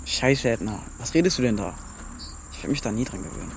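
A man's voice: mid-range voice, animated, fairly quick, creaky, background noise. A man playing a casual, teasing but caring teenage big brother in a naturalistic children's radio drama, with a blunt way of talking. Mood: annoyed.

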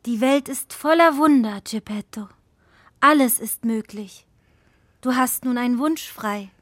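A woman's voice: a little high, unhurried, a bit bright, dry recording, studio quality. An actress in a children's radio play playing a good fairy who poses as a poor old woman, speaking persuasively. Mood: earnest, insistent.

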